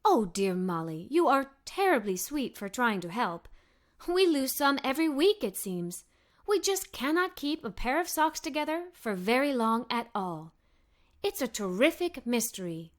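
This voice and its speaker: A woman voicing a gentle, wistful mother in a children's story, soft-spoken and earnest. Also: a little high, sing-song, measured pace.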